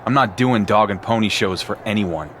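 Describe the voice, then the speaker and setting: A man's voice: fairly low pitch, normal speed, a bit bright, a little hiss or hum, close to an everyday voice. A man playing a proud, defiant champion wrestler in a video game, blunt and confrontational. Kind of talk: acted video game cutscene dialogue.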